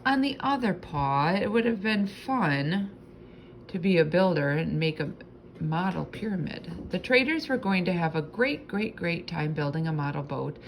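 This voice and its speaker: Lower-pitched, creaky woman reading a storybook. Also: a little hiss or hum, rough recording. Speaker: storybook reader. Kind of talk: expressive scripted children's read-aloud narration.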